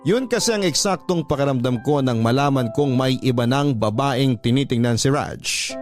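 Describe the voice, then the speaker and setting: A man's voice: mid-range voice, moderate pace. A man with a Filipino accent reading a love letter in a dramatic, sentimental radio storytelling style. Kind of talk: scripted narration, radio storytelling. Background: music under the voice.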